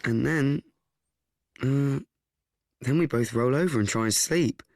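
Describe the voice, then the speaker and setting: An actor as a wry, mid-pitched teenage boy. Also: unhurried, no room sound, natural voice. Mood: wry, confiding. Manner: deadpan, confiding, self-deprecating. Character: wry, awkward, frank teenage boy narrating his life. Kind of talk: first-person radio play narration.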